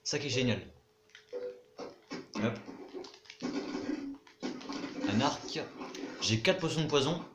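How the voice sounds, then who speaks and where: A man's voice: medium pitch, lively intonation, a little slow, reverberant, very noisy. Chatty young man narrating his gameplay. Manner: chatty, mutters to himself, swears casually. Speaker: gaming YouTuber. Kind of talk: casual gameplay commentary.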